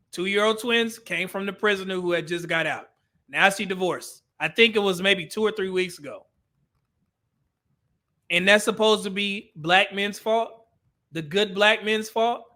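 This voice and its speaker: A man's voice: sits high, sharp tone. A male commentary host ranting over clips, blunt and profane, with a preachy edge.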